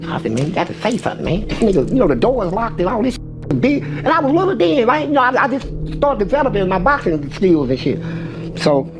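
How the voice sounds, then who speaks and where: A man casually retelling a prison story in a profane, streetwise, animated way. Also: high-pitched, fast, music in the background. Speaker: former inmate storyteller.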